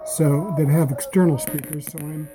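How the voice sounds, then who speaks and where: A man giving a casual, measured demonstration as a radio hobbyist. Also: on the high side, fairly even intonation, over music, poor audio. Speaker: amateur radio operator demonstrating receivers. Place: small indoor radio room.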